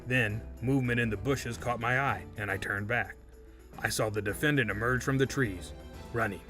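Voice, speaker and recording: A man's voice: medium pitch, normal speed, pushed and bright, faint music behind, natural voice. A man playing a composed, coldly polite male witness in an audio drama, speaking formally and unruffled.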